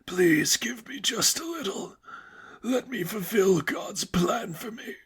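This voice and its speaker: A man voicing a meek, devout young man who pleads in a halting, breathy, strained whisper. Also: high voice, lively intonation, a bit bright, voice pushed up, full character voice. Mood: meek, pleading. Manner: halting, drawn-out, supplicating.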